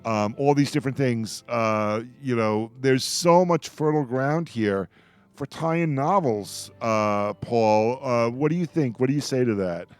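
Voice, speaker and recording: Effusive, halting man hosting a fan roundtable. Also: mid-range voice, clean tone, dry recording, soft background music. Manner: effusive, halting with repeated words. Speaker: radio roundtable host. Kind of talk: enthusiastic fan discussion.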